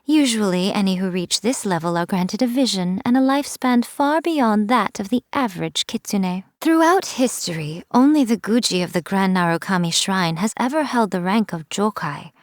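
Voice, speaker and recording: A woman's voice: mid-range voice, animated, moderate pace, hardly any pauses, dry recording, natural voice. A woman voicing a sly, teasing fox-spirit shrine priestess, speaking in a playful, wry, mocking tone. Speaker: game character. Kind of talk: scripted game voice lines.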